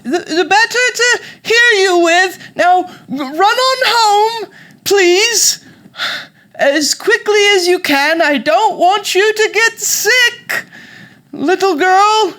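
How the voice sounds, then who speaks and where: A woman voicing a storybook wolf who pretends to be a sick old grandmother, with a British accent and a pleading, drawn-out wail. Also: extremely high pitch, lively intonation, fairly bright tone, voice pushed up, heavily acted. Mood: urgent, coaxing.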